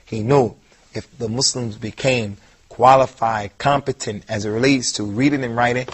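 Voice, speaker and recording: A man delivers a televised lecture in a husky, slightly breathy voice set at an everyday middle pitch. He speaks emphatically and circles back to repeat the points he wants to stress. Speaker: Islamic lecturer.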